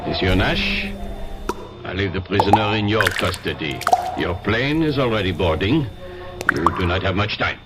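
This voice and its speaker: Casting: polite French police inspector for radio drama, low voice with lively inflection. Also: male voice, normal speed, long pauses, loud music behind the voice. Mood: formal, polite. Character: courteous, formal French police inspector, calmly authoritative. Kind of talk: radio mystery drama acting.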